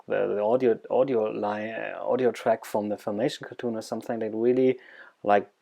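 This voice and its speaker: A man with a German accent presents informally in a creaky, slightly dark voice. He speaks haltingly and searches for his words, yet stays enthusiastic. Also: on the low side.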